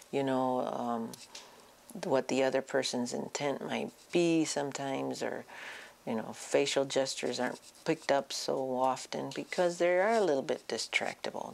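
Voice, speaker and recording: Very low, gravelly-voiced woman giving medical talk. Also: darkish tone. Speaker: psychiatrist presenting an educational talk. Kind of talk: informal medical lecture.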